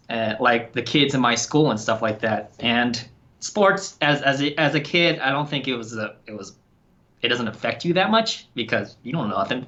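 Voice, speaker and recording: A man co-hosts a podcast, chatting loosely and rambling through fillers and restarts. His voice is bright and sharp, heard through a somewhat dull, lo-fi recording. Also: mid-range voice. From Thailand. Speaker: podcast co-host. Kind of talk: casual chat.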